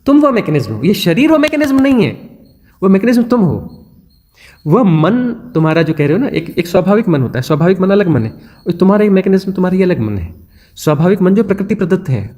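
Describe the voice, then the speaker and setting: Animated Indian man giving a spiritual talk. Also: high-pitched. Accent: Hindi-speaking Indian. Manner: repetitive, rhetorical questions, chanting phrases to illustrate. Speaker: spiritual teacher. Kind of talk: satsang discourse.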